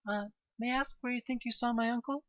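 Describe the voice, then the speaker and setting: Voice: medium pitch, fairly even intonation, quick pace, fairly bright tone, dry recording, muffled recording, voice pushed up, natural voice. A performer voicing a wary, polite young woman searching for her uncle in an audio drama.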